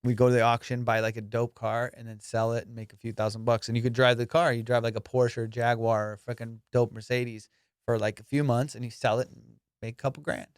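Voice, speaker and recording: A man's voice: medium pitch, quick pace, clear, resonant tone, no echo, studio quality. A young adult man, a comedian and podcast host, telling boastful, profane stories in a casual monologue. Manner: profane, boastful storytelling with excited bursts.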